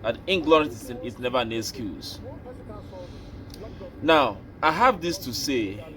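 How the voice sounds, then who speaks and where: A Nigerian man hosting an opinion show, speaking slowly and deliberately, like a preacher. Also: mid-range voice, lively intonation, a bit bright. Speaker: commentary host. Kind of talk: opinion commentary.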